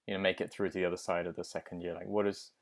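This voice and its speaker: A man's voice: low voice, little pitch movement, very fast. A man hosting an interview podcast, asking long, winding questions padded with "you know". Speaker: podcast host.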